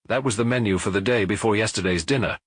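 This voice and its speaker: A man voicing a weary, gruff, unimpressed police detective in an audiobook, terse and listless. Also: fairly low pitch, fast, no room sound. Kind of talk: audiobook character voice.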